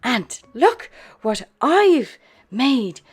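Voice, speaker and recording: A woman's voice: a little high, big pitch swings, extremely slow, husky, pitched above the performer's own voice, exaggerated voice. Woman voicing a cheeky little storybook boy. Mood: playful.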